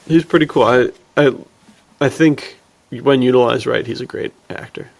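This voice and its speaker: A man with a heavily creaky, gravel-edged voice and mid-range pitch talks like a laid-back podcast host. He is slangy and enthusiastic, chatting casually as if with a buddy. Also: moderate pace. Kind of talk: casual chat about films and books.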